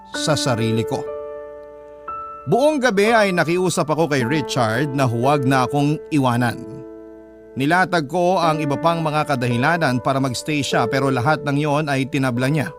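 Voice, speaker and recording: A man narrating a dramatic first-person story as a radio storyteller. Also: mid-range voice. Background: background music.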